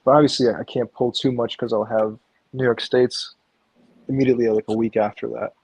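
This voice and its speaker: A man whose first language is Russian, speaking in a measured, practical way with ums in between. His voice is gravelly and husky, and it sounds dry and close, with no room echo. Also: medium pitch, level tone, a little mellow. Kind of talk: casual chat.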